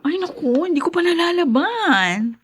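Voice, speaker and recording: A woman's voice: very high voice, sing-song, moderate pace. A woman acting in a radio drama as a put-upon member of a household, mild and casual in manner. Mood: compliant, curious.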